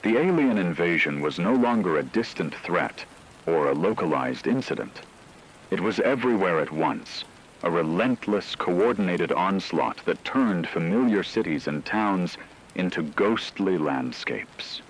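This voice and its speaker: Deep-voiced man narrating grave sci-fi drama. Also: animated, slight background noise, low-quality recording. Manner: grave, measured storytelling.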